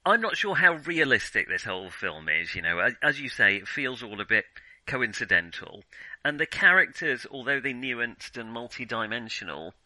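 Very bright-voiced man discussing films. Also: medium pitch, very animated, normal speed, dry recording, poor audio. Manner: hesitant, self-qualifying, thinks aloud. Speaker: film podcast co-host. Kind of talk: casual film discussion.

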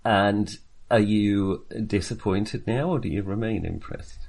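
A man co-hosting a film podcast in casual discussion, speaking in a halting, wry and self-deprecating way. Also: fairly low pitch, creaky, darkish tone.

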